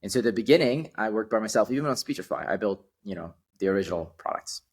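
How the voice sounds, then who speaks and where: A young man with a deep, low voice talks casually as a podcast guest. He tells stories with lively energy, often pausing on an "um" before pressing on. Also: breathy edge. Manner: animated storyteller, frequent ums. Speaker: guest, startup founder.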